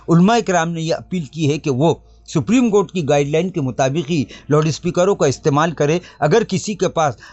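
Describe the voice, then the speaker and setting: A man's voice: a little high, darkish tone, dull audio. A man presenting a scripted news report in a formal register, addressing the viewers directly.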